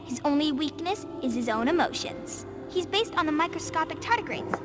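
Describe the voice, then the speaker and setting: A very high, young girl's voice for a story game. She is earnest, bossy and precise, a little know-it-all, over clear background music. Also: animated, normal speed. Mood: earnest, proud. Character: bright, bossy, bookish little girl who loves bugs. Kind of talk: naturalistic video game acting.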